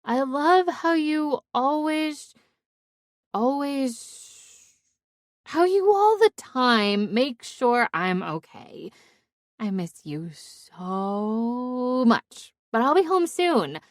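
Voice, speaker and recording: A woman's voice: high-pitched, sing-song, drawn-out words, dry recording, pitched above the performer's own voice, full character voice. A woman performs a tipsy young ex-cheerleader in an audiobook. She is brash, sweary and dramatic, rambling into a phone in a giggly way. The sound is close and dry. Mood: drunk gushing.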